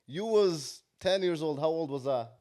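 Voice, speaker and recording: A man's voice: on the high side, expressive, normal speed, dark tone. A thirty-year-old man hosting a podcast, bantering casually in loose, profane slang. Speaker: podcast host.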